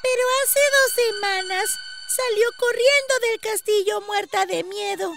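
A woman's voice: extremely high pitch, fairly even intonation, unhurried, creaky, fairly bright tone, faint music behind, pitched above the performer's own voice, heavily acted. A woman voicing a frightened little village girl in a storybook, urgent and exclaiming.

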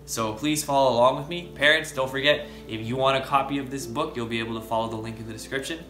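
A young man hosting a children's story time, reading aloud in a deliberate manner and speaking directly to young listeners. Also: medium pitch, fairly even intonation, pushed and bright. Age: young adult. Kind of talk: read-aloud storytelling for preschoolers. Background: background music.

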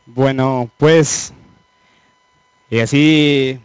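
A young man from Cañar province with an Ecuadorian highland accent, speaking in halting, simple phrases as a guest singer on a handheld mic. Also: fairly even intonation, clean tone, no echo. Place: small indoor TV studio. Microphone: handheld microphone.

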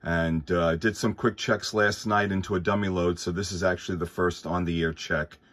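Low-pitched man, casual radio chat. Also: steady tone, moderate pace, a bit bright. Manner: courteous, thankful. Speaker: amateur radio operator. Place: indoors at a workbench.

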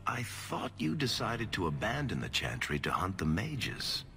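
A man's voice: deep voice, wildly swooping pitch, measured pace, vocal fry, pushed and bright, exaggerated voice. A man voicing a wry, roguish middle-aged dwarf in a video game, telling his tale with sardonic drama. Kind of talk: video game voice acting, narrative.

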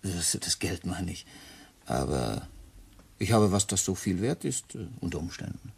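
A shady, hesitant character in a crime radio play. His low voice is gravelly and very hoarse-edged, and he talks in an evasive, halting way. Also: very animated, heavily acted.

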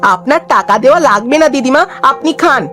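A woman's voice: high voice, animated, normal speed, fairly bright tone, soft background music. A woman voicing an eager, helpful young village boy in a cartoon, polite and earnest. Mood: kind.

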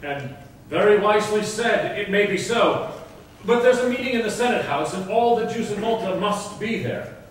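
A man's voice: high-pitched, animated, moderate pace. An actor playing an anxious, deferential townsman bringing news, in earnest classical stage speech. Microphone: far camera. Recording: echoey hall, distant, some noise.

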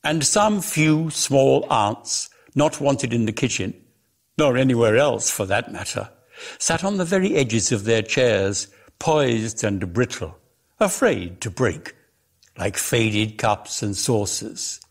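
A man giving a dramatic literary reading as storyteller, with theatrical, deliberate, emphatic phrasing. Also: medium pitch, expressive, creaky. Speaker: reader of a story.

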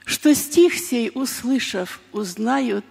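A woman gives a solemn, dramatic poetry recitation in a church, speaking deliberately and expressively with drawn-out words and pauses. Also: fairly high pitch, expressive, vocal fry, darkish tone, husky, in a large hall.

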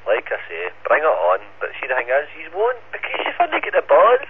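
A man with a central Scottish accent, mid-pitched, heard through thin, phone-like two-way radio with a little noise. He talks in loose slang, swearing freely and goading whoever is listening. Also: wildly swooping pitch, fairly quick. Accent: Scottish, central Scotland. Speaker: amateur radio operator. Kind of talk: casual ham radio banter. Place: received over an FM radio repeater.

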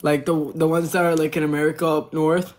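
A young man hosting a phone livestream, teasing his guest and shouting out viewers who send gifts. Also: on the high side, level tone, brisk, slight background noise. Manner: boastful, teasing, shouting out gifters. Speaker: livestream host. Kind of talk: casual livestream banter. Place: indoor room. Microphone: phone at arm's length.

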